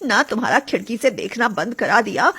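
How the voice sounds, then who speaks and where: A woman's voice: on the high side, lively intonation, brisk, pushed and bright, slightly rough, heavily acted. A woman voicing a snobbish middle-aged mother who nags and disapproves in a story. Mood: angry scolding. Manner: nagging, disapproving.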